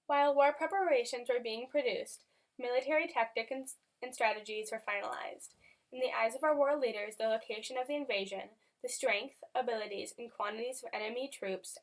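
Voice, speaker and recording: Woman newsreel narrator with raised pitch. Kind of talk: scripted newsreel narration, read aloud.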